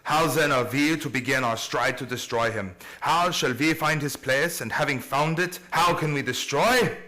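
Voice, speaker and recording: A man's voice: mid-range voice, moderate pace, sharp tone, natural voice. A man playing a grave, learned professor who hunts vampires in a radio play, speaking in solemn, formal oratory. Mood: grave, resolute. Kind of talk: old-time radio play acting.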